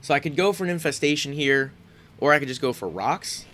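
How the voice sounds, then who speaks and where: A man's voice: a little high, lively intonation, brisk, noisy background. A congested man giving casual commentary on his own game, thinking aloud with frequent ums and restarts. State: congested, sniffling. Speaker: gaming YouTuber.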